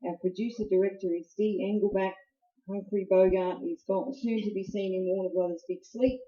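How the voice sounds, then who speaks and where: Female radio play announcer, very mellow tone, scripted read, slightly muffled recording. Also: medium pitch, steady tone, normal speed.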